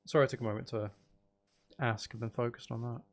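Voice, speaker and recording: A man's voice: mid-range voice, steady tone, fast. A man chatting casually to viewers as he plays a building game, often murmuring half to himself and trailing off, with a self-deprecating manner. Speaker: live streamer playing a building game. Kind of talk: casual chat with viewers while gaming.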